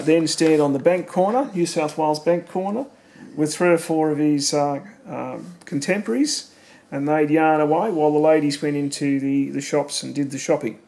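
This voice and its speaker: Australian man, middle-aged, family storyteller, slightly higher voice, rambling with fillers. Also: mellow. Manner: rambling, asks leading questions, many fillers. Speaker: family member recording memories. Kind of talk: casual reminiscing conversation.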